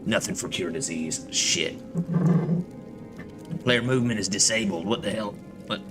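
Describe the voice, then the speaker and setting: A man's voice: lowish voice, measured pace. A man giving casual, profane gameplay commentary, muttering to himself as he plays. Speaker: gamer streaming a playthrough. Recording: loud music behind the voice.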